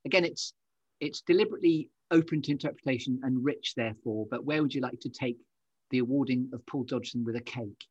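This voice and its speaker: Husky male podcast host, close and dry. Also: mid-range voice, a little fast, no echo, highs cut off. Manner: effusive, wordy, complimentary. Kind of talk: conversational podcast interviewing.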